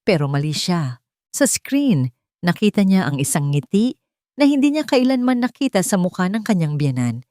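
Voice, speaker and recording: Woman storyteller, lowish voice, suspenseful dramatic narration, dry close recording. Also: big pitch swings, normal speed, dark tone, no echo.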